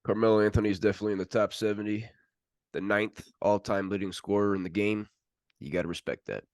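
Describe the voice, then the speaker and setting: A man co-hosting a sports podcast, relaying news in casual, informal chat with a halting delivery. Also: fairly low pitch, fairly even intonation, a little mellow, no room sound.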